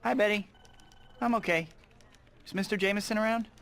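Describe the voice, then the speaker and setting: A man's voice: high voice, a little fast, vocal fry, fairly bright tone. A young American man voicing a glib, awkward young superhero in a video game, with music behind him. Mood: casual. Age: young adult. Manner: glib, quipping. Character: wisecracking, awkward young male superhero and photographer.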